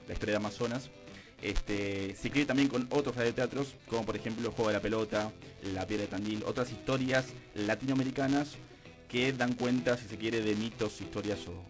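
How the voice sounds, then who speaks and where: Male radio guest, Argentine accent, lower register, measured explanatory conversation over a faint music bed. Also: poor audio. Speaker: radio drama producer and guest.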